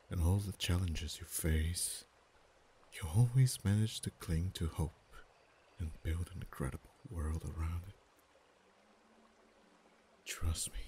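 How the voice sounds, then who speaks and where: A man voicing a deep, ancient dragon. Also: slight background noise. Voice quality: very deep, soft-spoken. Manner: slow, measured, drops to whispers. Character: ancient male dragon, proud and possessive. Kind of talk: ASMR roleplay voice acting.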